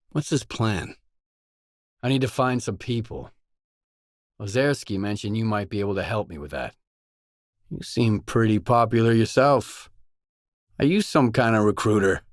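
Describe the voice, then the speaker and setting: A man's voice: fairly low pitch, no room sound, clean studio sound, natural voice. An actor playing a guarded, blunt male stalker, the protagonist of a post-apocalyptic video game, speaking in terse scripted lines. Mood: blunt, wary. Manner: terse, blunt, probing questions.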